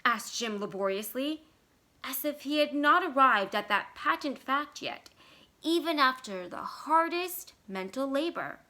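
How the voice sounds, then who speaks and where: A woman reading a short story aloud as scripted narration, with expressive, deliberate and dramatic phrasing. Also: high voice. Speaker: library staff storyteller.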